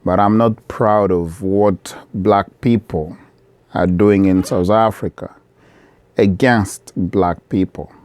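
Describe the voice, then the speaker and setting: A middle-aged man from the Democratic Republic of Congo, speaking straight to camera from his shop in an earnest, measured appeal that repeats key phrases. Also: low-pitched, steady tone, a little mellow, no room sound. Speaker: vlogger, business owner.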